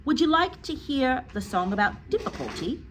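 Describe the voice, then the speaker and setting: A woman voicing a bright, brave little schoolboy in a story, piping and eager. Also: high voice, big pitch swings, normal speed, a little hiss or hum, pitched above the performer's own voice. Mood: eager, cheeky.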